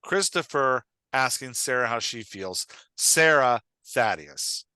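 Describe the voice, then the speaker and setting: Bright, emphatic man coaching in conversation. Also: medium pitch, expressive, takes it slowly, clear, resonant tone, no room sound. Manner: emphatic, repeatedly checks for understanding; acts out short example lines of dialogue. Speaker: podcast host and writing coach.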